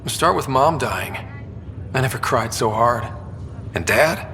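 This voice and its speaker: A man's voice: mid-range voice, lively intonation, slow pace, full character voice. A man playing a wry, wistful young soldier in a sci-fi video game, speaking in a reflective, conversational way. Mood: somber, bitter. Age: young adult. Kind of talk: naturalistic video game dialogue acting. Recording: reverberant room, loud ambient hum behind.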